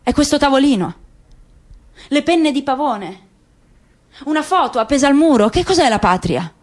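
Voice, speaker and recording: A woman's voice: sits high, expressive, moderate pace. A woman voicing a weary, grieving middle-aged father in a literary radio reading, speaking in a grave, searching way. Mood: brooding.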